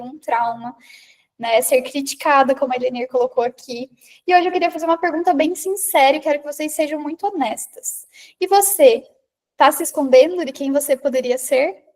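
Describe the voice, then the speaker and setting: A woman's voice: high-pitched, darkish tone. A woman from the interior of Paraná with a Paraná accent, giving a conversational talk that addresses listeners directly, often tagging her sentences with a questioning "né?". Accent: Paraná interior Brazilian Portuguese. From Paraná, Brazil. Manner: conversational, frequent rhetorical "né?"; addresses listeners directly, reads out their comments. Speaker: speaker on public presence and confidence. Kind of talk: live online talk to a women's group.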